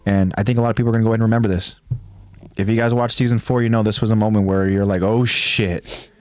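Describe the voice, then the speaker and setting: Low-voiced, slightly nasal male podcast host. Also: steady tone, fast, a little mellow, no echo. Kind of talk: casual podcast chat. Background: background music.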